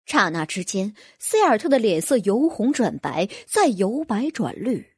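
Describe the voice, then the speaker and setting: A woman's voice: medium pitch, very animated, a little slow, slightly rough, no room sound, clean studio sound. A woman narrating a fantasy audiobook in a dramatic, scripted storytelling style.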